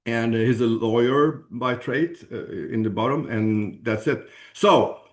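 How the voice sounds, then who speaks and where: A man from Sweden with a Swedish accent gives an informal promotional presentation, haltingly searching for words and clearing his throat. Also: medium pitch. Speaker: presenter at a business opportunity webinar.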